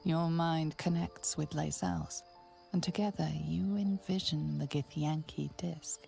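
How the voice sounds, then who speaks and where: A grave female narrator guiding a fantasy video game. Her low voice is thick with gravelly fry and very mellow in tone. The delivery is scripted and mysterious, over faint music. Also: steady tone, measured pace, heavily acted.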